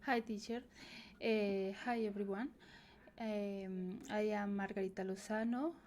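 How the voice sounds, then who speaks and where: A woman, introducing herself slowly and hesitantly in English class. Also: mid-range voice, fairly even intonation, slight background noise. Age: 20s. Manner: slow, hesitant, soft-spoken. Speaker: student.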